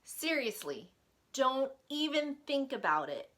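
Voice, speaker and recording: Lady doing a bright little picture book critter, excitable and bossy, voice jumps all over. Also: high voice, a little slow, slight background noise, heavily acted. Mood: stern, playful. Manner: exclamatory, bossy and playful.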